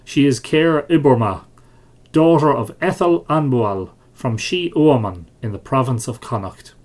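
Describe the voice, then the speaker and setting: A man's voice: mid-range voice, lively intonation, unhurried, darkish tone. A man with an Irish accent reading the words of a knowing, authoritative fairy king in a storybook legend, formally phrased. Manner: formal, declarative storybook speech.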